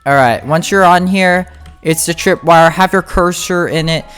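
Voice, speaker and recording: A casual gameplay guide host giving tutorial commentary in an informal, halting way, thinking aloud. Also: medium pitch, a bit bright, clean tone. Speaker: game guide video host. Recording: music behind the voice. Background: music.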